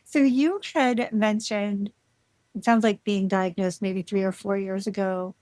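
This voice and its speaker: A woman hosts a podcast at a middle pitch. She opens with a scripted intro and then interviews her guest, welcoming and encouraging as she talks. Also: steady tone, measured pace, darkish tone, no echo. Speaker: podcast host.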